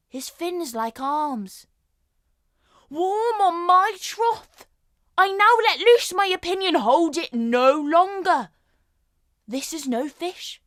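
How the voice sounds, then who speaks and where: A woman's voice: high voice, fairly bright tone, no room sound, clean studio sound, voice pushed up, heavily acted. A woman performing a jittery comic male jester in a Shakespearean stage monologue, exclamatory and theatrical. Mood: amazed.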